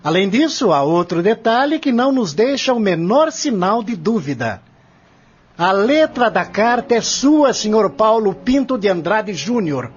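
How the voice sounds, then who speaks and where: A man's voice: sits high, big pitch swings, a little slow, slightly rough, exaggerated voice. A man playing a confident, relentless lawyer in an audio drama, arguing in a formal, articulate, declamatory way. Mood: accusing, firm. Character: confident prosecuting lawyer, relentless, methodical, formal courtroom orator. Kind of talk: audio drama acting, courtroom scene. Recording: dull lo-fi audio with music underneath.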